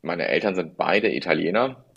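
Deep gravelly-voiced man, hesitant. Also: animated, fairly bright tone, highs cut off. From born in Italy, raised in the Rhineland. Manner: measured, earnest, frequent ums and ahs. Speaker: family lawyer, guest. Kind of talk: podcast interview answer.

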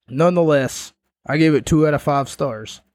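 Casual, slow-paced man reviewing wrestling. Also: fairly high pitch, a little mellow, no echo. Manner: rambling, jokey asides. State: voice starting to go. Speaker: wrestling show reviewer, host. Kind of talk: casual spoken review and recap.

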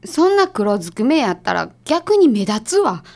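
A woman's voice: fairly high pitch, sing-song, normal speed, a little mellow. A woman playing a blunt, short-tempered female detective's assistant in a radio drama, with a Kansai accent. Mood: scolding. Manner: blunt, bossy.

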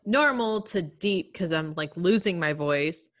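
A woman co-hosting a podcast, chatting in a relaxed way with a stuffy nose from allergies. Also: lowish voice, measured pace. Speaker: podcast co-host. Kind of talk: casual chat.

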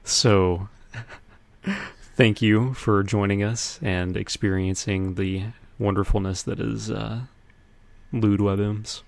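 A man's voice: lowish voice, fairly even intonation, slow pace, creaky, no echo. A man hosting a casual podcast with friends, bantering in a jokey, self-deprecating manner. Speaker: podcast host.

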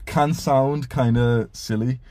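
A man's voice: mid-range voice, steady tone, slow pace, dark tone. A young man whose first language is Urdu, hosting a casual live stream and reading out donation messages in a laid-back way, swearing casually.

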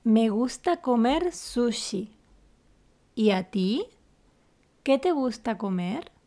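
A woman from the Canary Islands, a teacher co-hosting a slow, scripted beginner lesson with clear, deliberate phrasing. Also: fairly high pitch, animated, dark tone. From Canary Islands, Spain. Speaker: podcast co-host, Spanish teacher.

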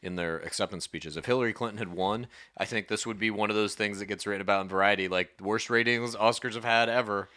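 A man's voice: lowish voice, steady tone, fast talker, few pauses, sharp tone, no echo, clean studio sound. A young man co-hosting a podcast, talking movies in a blunt, opinionated way and swearing freely. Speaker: podcast co-host. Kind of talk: casual chat.

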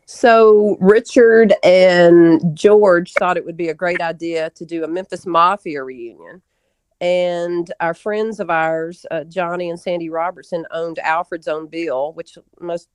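A woman's voice: lowish voice, fairly even intonation, a little slow, no echo. A woman in her forties, an interview guest reminiscing conversationally. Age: 40s.

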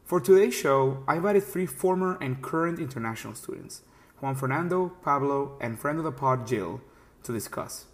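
A man's voice: mid-range voice, expressive, normal speed. A young man hosting a podcast, narrating scripted segments and chatting with his guests with friendly agreement. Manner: clear narrating with friendly back-channel agreement. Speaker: podcast host. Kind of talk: scripted narration and casual chat.

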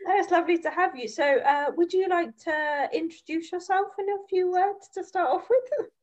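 A woman's voice: very high voice, moderate pace, darkish tone. A woman hosting a podcast interview, talking in a friendly, conversational way. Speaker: podcast host.

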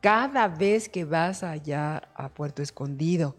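Woman talk host, lower voice, emotive and affectionate. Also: lively intonation, measured pace, faint music behind. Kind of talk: talk show interview.

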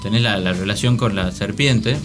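A man's voice: on the low side, moderate pace. An Argentine man co-hosting a radio show, talking in casual panel discussion. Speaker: radio co-host. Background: background music.

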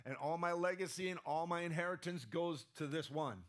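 A man's voice: on the high side, a little fast, fairly bright tone. A man preaching a conversational sermon, often asking the room "right?", his voice strained and sometimes cracking. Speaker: pastor.

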